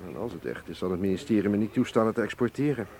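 An actor in a radio play voicing a suave, gallant but guarded Russian museum man, speaking in an urbane, teasing, deliberate way. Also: fairly low pitch, lively intonation, a little mellow. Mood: guarded, intrigued. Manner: urbane, teasing, deliberate, with small questioning hums. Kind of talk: radio drama acting. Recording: noisy radio play recording.

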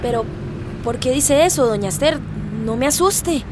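Worried but calming neighbor lady, high voice, radio soap, slight car rumble. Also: normal speed. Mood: worried, reassuring. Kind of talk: radio drama acting.

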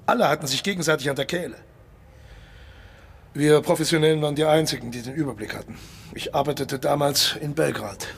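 An actor playing a suave, cynical mercenary colonel and old-hand spy in a radio play, polite but insistent, condescending and menacing. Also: male voice, on the high side, brisk, gravelly, slightly rough. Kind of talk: German radio play acting.

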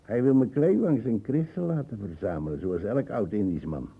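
An actor playing a suspicious, cantankerous old colonial uncle with a gravelly, husky voice in a radio drama. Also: male voice, fairly low pitch, lively intonation, moderate pace, narrow, tinny audio, heavily acted. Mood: boastful. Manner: brooding, cantankerous.

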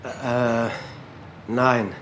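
An actor playing a drunken, nervous husband in a radio play, hesitant and stammering. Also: male voice, mid-range voice, level tone, extremely slow, a bit bright, slight background noise. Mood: subdued. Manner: hesitant, stammering, repeats himself.

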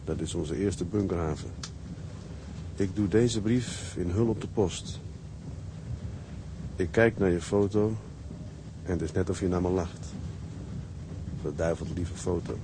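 Earnest ship's mate, noisy recording. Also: male voice, low-pitched, unhurried, long pauses. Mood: tender. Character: conscientious ship's first mate, stern with crew, tender husband. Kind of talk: Dutch radio drama acting. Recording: noisy drama track with loud ambience.

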